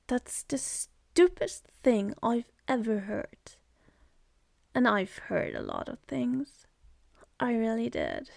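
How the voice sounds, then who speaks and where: A woman's voice: fairly high pitch, vocal fry, darkish tone, dry recording. A woman voicing a sardonic, seductive demon in an ASMR roleplay, mocking and contemptuous, speaking close to the mic. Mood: scornful.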